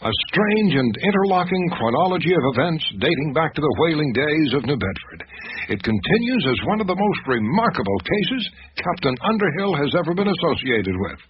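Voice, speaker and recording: Animated, genteel older doctor. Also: male voice, on the high side, a little fast. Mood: admiring, reflective. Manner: formal, emphatic, theatrical. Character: genteel older family doctor, fussy and admiring storyteller. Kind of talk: radio drama narration and acting. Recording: dull, noisy radio drama recording.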